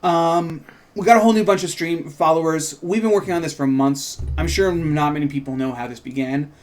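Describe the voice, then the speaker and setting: A man's voice: a little high, brisk. A man chatting casually as a show host and writer, rambling and interrupting his own thoughts. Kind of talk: casual chat about writing a script.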